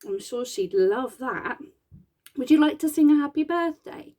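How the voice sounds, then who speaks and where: A soft-spoken woman puppeteer chatting gently and encouragingly with her puppets for children. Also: high voice, expressive, measured pace.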